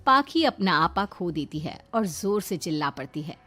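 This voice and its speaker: A woman's voice: on the low side, very animated, normal speed, fairly bright tone. A woman reading scripted narration for a cartoon moral story.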